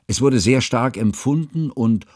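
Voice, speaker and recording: An actor playing an earnest, troubled scholar who narrates a literary radio drama in a measured, formal, precise way. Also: male voice, mid-range voice, a little mellow, no echo, studio quality, natural voice. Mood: grave.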